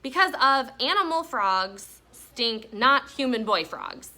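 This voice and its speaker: A playful, bossy big sister from a storybook, read aloud by a woman. Her voice is high and bright, with lively swings as she gives instructions and encouragement. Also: takes it slowly, full character voice.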